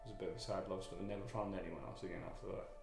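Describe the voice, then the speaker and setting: A man from Wales, a guest telling his own story in a candid interview, halting, with many fillers and restarts. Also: deep voice, steady tone, gravelly. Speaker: guest, army veteran. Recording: echoey room with music behind.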